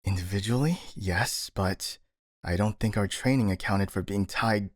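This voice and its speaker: A man's voice: on the low side, normal speed, dry recording, clean studio sound, natural voice. A man playing a skeptical, worried, exasperated ninja in an ASMR roleplay, with a sighing, doubting manner. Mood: skeptical, frustrated. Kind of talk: ASMR roleplay audio drama acting.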